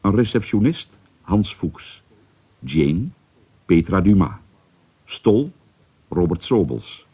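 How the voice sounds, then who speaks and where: A man's voice: deep voice, sing-song, slow, long pauses, mellow, slightly rough. A man formally reading the scripted closing credits of a radio drama as its announcer.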